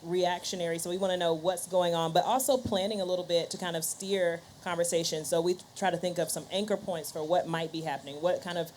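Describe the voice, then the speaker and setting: A woman's voice: on the low side, fairly even intonation, fast talker, hardly any pauses, darkish tone, slight background noise. A woman speaking conversationally as a panelist in a discussion. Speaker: panelist, podcast host and scientist.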